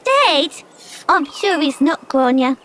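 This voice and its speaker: A woman voicing a cautious, scolding rose flower with a hushed, bossy manner, for a children's audio drama. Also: high-pitched, sing-song, takes it slowly, noisy background, full character voice. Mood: reassuring.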